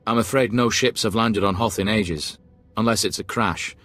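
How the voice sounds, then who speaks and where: An actor playing a cautious, deferential imperial officer in a video game, formal and obsequious. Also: male voice, fairly low pitch, moderate pace, fairly bright tone, no echo, soft background music. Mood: deferential, wary. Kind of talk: game voice acting.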